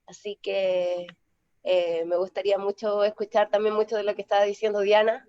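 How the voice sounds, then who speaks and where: A woman's voice: medium pitch, flat delivery, moderate pace, a little mellow. A woman speaking earnestly on a panel, with hesitant fillers. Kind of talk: casual panel talk. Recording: dry dull lo-fi audio.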